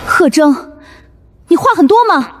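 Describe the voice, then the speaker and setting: A woman playing a guarded, curt teenage girl survivor in an animated web drama, with a cutting way of talking. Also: high voice, a little slow, dry recording. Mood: irritated, cutting. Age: young adult. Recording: background music under dialogue.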